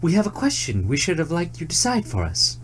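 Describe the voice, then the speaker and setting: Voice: medium pitch, huge pitch swings, fairly quick, slight background noise, pitched above the performer's own voice. A storyteller voicing a timid young female musk deer in a fairy tale, pleading, earnest and polite.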